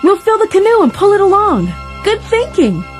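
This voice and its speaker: A woman voicing a loyal, practical but doubtful girl in a children's read-along story, girlish and expressive. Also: very high-pitched, moderate pace, soft background music, heavily acted. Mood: upbeat.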